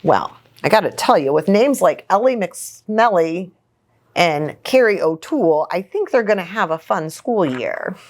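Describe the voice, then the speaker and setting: A woman hosting a children's story time, reading a picture book in an expressive, sing-song way and speaking to young children. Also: fairly low pitch, measured pace. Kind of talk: animated children's picture-book read-aloud.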